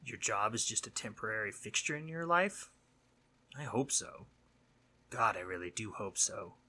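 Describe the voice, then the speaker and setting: A man playing a mischievous but concerned sleep demon in a roleplay audio monologue, husky and conversational, earnest and teasing, sometimes dropping to breathy near-whispers. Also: on the low side, expressive, moderate pace, sharp tone. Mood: worried, reflective.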